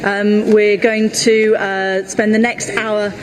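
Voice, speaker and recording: Female official, medium pitch, hesitant semi-formal briefing introduction, noticeably noisy sound. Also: little pitch movement. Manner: halting, frequent hesitations. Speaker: official opening a technical briefing.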